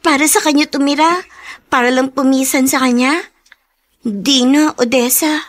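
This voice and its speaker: A very high, girlish voice from a woman acting in a Filipino radio drama. She is an abandoned daughter, emotional and plaintive, with a resolute streak. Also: fairly even intonation, moderate pace, natural voice. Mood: bitter, resolute. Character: distressed daughter abandoned by parents, tearful but resolute.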